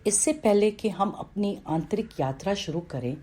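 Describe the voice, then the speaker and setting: A woman reading a scripted guided meditation in a calm, instructional way, with pauses between phrases. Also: medium pitch, breathy edge.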